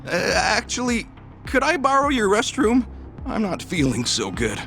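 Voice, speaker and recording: Nervous errand man: high, swooping voice, timid and pleading, video game scene. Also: huge pitch swings, a little slow, gravelly, sharp tone, faint music behind, exaggerated voice. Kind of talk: English video game dub acting.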